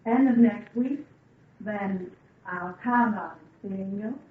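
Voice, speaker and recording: A woman's voice: medium pitch, slow, natural voice. Casting: actress for alluring society woman, inviting, distant and roomy on narrow vintage radio. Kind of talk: old-time radio drama acting. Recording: echoey, tinny radio, far off.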